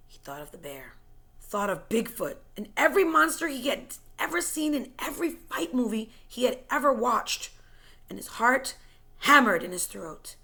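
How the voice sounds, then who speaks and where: Expressive woman reading a story with creaky edges. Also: a little high. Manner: suspenseful and expressive, dropping to whispers and rising to loud, forceful phrases. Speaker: teacher reading a book chapter aloud. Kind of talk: dramatic audiobook-style story reading.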